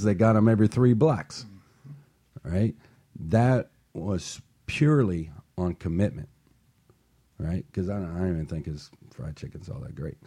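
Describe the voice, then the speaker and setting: A male talk show host with a very low voice. He tells stories in a loose, chatty way and keeps asking "right?" to check in. His tone is a little husky. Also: warm tone. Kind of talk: casual talk show storytelling.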